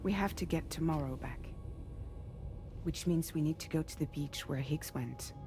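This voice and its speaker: A woman's voice: deep voice, moderate pace, over music. A woman playing a grave, resolute ally in a cinematic video game, speaking in an earnest, determined way. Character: grave, resolute woman among a band of allies.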